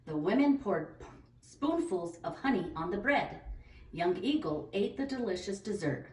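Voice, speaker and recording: A woman's voice: medium pitch, animated, moderate pace, a little mellow. A woman narrating a children's story as a read-aloud storyteller. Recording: roomy echo, distant microphone.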